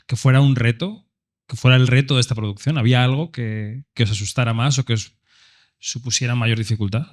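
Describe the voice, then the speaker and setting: Male host for live presentation, Spain Spanish, average pitch, clear-purposed questions and announcements. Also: fairly even intonation, brisk. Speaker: event moderator. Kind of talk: presenting and asking questions at an event.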